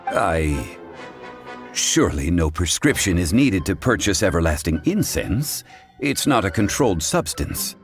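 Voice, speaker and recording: Low-voiced erudite, courteous gentleman. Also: sing-song, soft background music, full character voice. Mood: puzzled, polite. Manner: measured, formal, precise. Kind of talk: video game voice acting.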